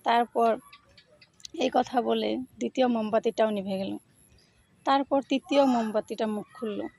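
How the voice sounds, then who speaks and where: A woman's voice: on the high side, steady tone, measured pace, creaky, darkish tone, dry recording. A woman telling a story directly to her listeners.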